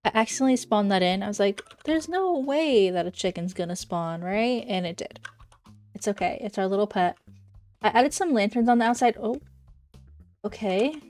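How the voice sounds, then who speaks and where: A woman's voice: medium pitch, moderate pace, a little mellow, soft background music. A young woman with a slightly congested voice, chatting casually and rambling as she shows off a build in a game. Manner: chatty, rambling, self-correcting. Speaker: Minecraft YouTuber. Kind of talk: casual gameplay commentary.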